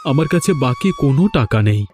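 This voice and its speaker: A man's voice: medium pitch, huge pitch swings, fast talker, a little mellow, faint music behind. A man voicing an aggrieved, put-upon village fisherman in a cartoon. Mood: defensive, weary. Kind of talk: Bengali cartoon voice acting.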